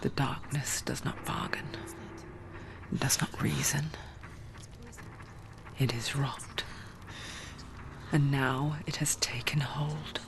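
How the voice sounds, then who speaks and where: A woman voicing a foreboding narrator inside a heroine's mind, in a hushed, intimate storytelling way for a dark video game. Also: very deep voice, steady tone, slow, gravelly, pauses often, whispered, exaggerated voice. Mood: ominous, hushed. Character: hushed female narrator voice in Senua's mind, ominous. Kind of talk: whispered dramatic game narration.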